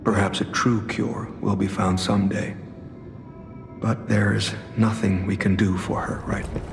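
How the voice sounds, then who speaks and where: A solemn, grave man in a video game drama, speaks in a low, restrained voice. His words are measured and ring with long reverb, with clear music underneath. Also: little pitch movement. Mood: somber, resigned.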